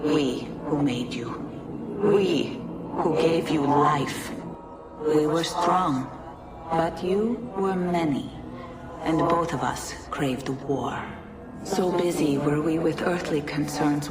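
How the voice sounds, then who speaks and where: Slow, echoing ancient goddess. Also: female voice, deep voice. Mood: solemn, mournful. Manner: solemn, measured, formal oration. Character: ancient godlike female being of a dying precursor race, solemn. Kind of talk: dramatic game cutscene monologue. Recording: echoey, distant voice under loud music.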